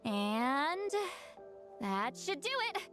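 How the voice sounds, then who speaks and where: A youthful-sounding, long-lived shaman for a video game. Her high voice moves freely up and down. She is reclusive and aloof, yet hesitates and sighs as if easily flustered, with music playing underneath. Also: very animated, extremely slow, fairly bright tone, heavily acted. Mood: focused. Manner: hesitant, sighing, flustered stammering.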